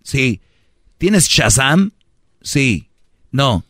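A man's voice: mid-range voice, very animated, drawn-out words, fairly bright tone, dry recording, studio quality. A man hosting a radio show, teasing callers and lecturing in a mock-preachy way, dragging out words for emphasis. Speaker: radio host.

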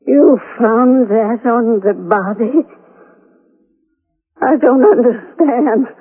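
An actress playing a guarded, fanatical old mother in a radio drama, with halting, evasive speech that can turn fervent. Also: fairly high pitch, husky, exaggerated voice. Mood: stunned, fearful. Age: older. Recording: tinny, phone-like old radio broadcast.

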